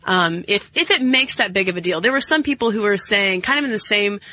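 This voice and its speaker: A woman's voice: mid-range voice, fairly quick, pushed and bright. A woman co-hosting a podcast in casual chat, talking straight to her listeners. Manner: chatty, addresses listeners directly. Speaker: podcast co-host.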